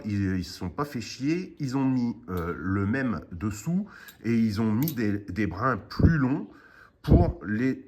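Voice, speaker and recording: A man's voice: medium pitch, breathy edge. A man giving a casual, unscripted product review in an informal, explanatory way, often adding little conversational tags. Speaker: video host presenting a product.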